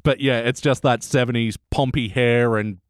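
A man's voice: mid-range voice, fairly even intonation, normal speed, no room sound, clean studio sound. A man in his thirties hosting a casual podcast review chat. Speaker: podcast host.

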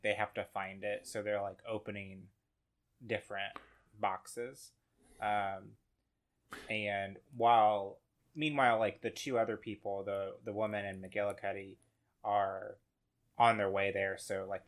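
A man co-hosting a podcast in casual comedic chat, halting and brainstorming with many fillers. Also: fairly low pitch, fairly bright tone. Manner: halting, lots of ums and likes, brainstorming. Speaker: podcast co-host.

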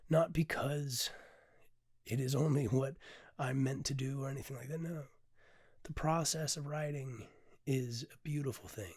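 A hoarse man with a raspy voice, a podcast host and poet who reads a poem and then talks in a candid, rambling way, swearing casually. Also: mid-range voice, darkish tone, dry recording, studio quality. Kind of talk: poetry reading then casual reflective talk.

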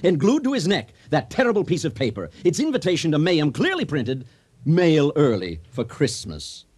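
Husky guy doing grand mock-serious comic radio narrator, really animated delivery. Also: fairly high pitch, normal speed, exaggerated voice. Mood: mock-solemn, wry. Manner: mock-solemn, grandly emphatic. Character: exuberant comic storyteller addressing a wedding crowd.

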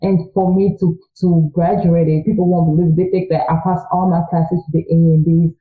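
A woman's voice: fairly low pitch, little pitch movement, normal speed. A young woman from Congo whose first language is French, talking earnestly and chattily as an interview guest. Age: young adult. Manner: earnest, chatty, quoting her own thoughts aloud. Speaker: guest, recent college graduate. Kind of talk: casual interview talk. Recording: tinny phone-like line, echoey room.

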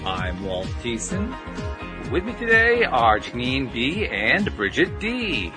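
A man's voice: mid-range voice, big pitch swings, takes it slowly, over music. A man hosting a podcast in a casual, rambling way, chuckling as he talks. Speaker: podcast host.

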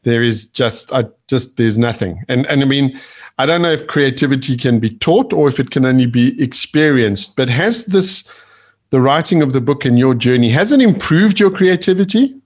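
A man's voice: medium pitch, moderate pace, slightly rough. Male podcast host, easygoing questions. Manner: conversational, relaxed questioning with fillers. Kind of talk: podcast interview.

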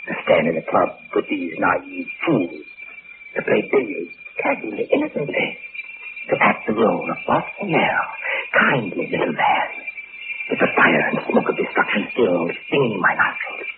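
A man's voice: fairly low pitch, huge pitch swings, normal speed, phone-quality sound. Wildly theatrical gloating madman, old radio. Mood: secretly gloating. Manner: theatrical, rapturous, swinging from murmur to cry. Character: gloating mad male arsonist posing as a kindly club gentleman. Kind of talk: old-time radio drama acting. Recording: noisy, band-limited vintage radio recording.

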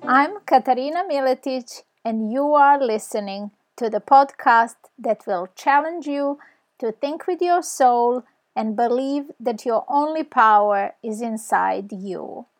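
A woman's voice: a little high, unhurried. A woman podcast host reading a scripted show introduction. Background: music.

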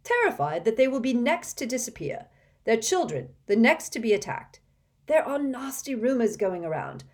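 An earnest middle-aged wizard father explains grave news, his voice lifting higher and a touch louder than the narration, as a woman reading the story puts him on. He sounds firm and concerned. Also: very animated, normal speed.

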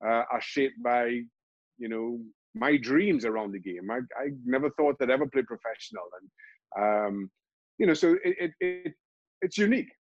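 A middle-aged man from Trinidad and Tobago, a football pundit, answering interview questions in a halting way, restarting and repeating words often. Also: medium pitch, animated, no echo, dull audio. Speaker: football pundit and show host.

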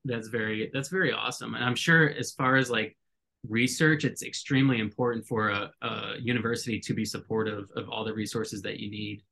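A man's voice: lowish voice, steady tone, brisk, creaky, bright, forceful tone. A man hosting a podcast interview, friendly and enthusiastic, often repeating words as he goes. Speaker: podcast host. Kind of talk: casual interview.

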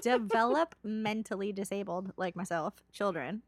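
A woman telling stories in casual chat, sarcastic and animated, acting out what others said. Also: mid-range voice, moderate pace, dry recording. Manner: animated, sarcastic, acts out quoted voices.

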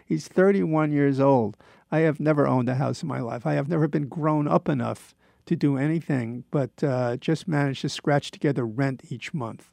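A man in his seventies giving a rambling personal monologue in a halting, reflective, self-deprecating way, with a gravelly voice. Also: mid-range voice, darkish tone, no room sound. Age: 70s.

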